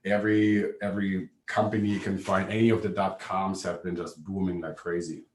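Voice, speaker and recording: A man with a German accent, giving a brisk, matter-of-fact business presentation on a video call. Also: sits low, level tone. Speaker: webinar presenter. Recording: echoey room, distant mic.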